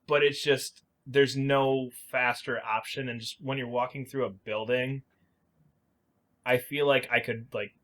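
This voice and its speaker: A man's voice: medium pitch, steady tone, unhurried, fairly bright tone. A man co-hosting a podcast, giving his opinions on video games in casual, conversational speech. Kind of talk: casual opinionated game chat.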